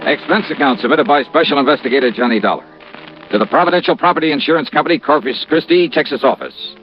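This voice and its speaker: An American actor playing a brash, shrewd insurance investigator in a wry, punchy 1950s radio detective style. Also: male voice, mid-range voice, quick pace, over music, natural voice. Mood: brisk narrating. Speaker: lead actor and narrator of radio detective series. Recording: dull, noisy old radio recording.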